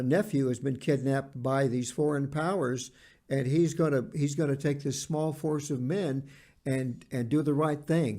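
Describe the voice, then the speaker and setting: Mellow-voiced man in podcast discussion. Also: medium pitch. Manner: earnest, halting, repeats words for emphasis. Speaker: podcast co-host.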